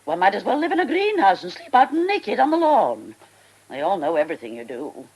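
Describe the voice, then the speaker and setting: An actress playing an imperious, vain old retired stage star in a radio drama, with a theatrical, bossy, affectionately rude manner. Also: female voice, mid-range voice, big pitch swings, brisk, darkish tone, close to an everyday voice. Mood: imperious, irritated. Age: older.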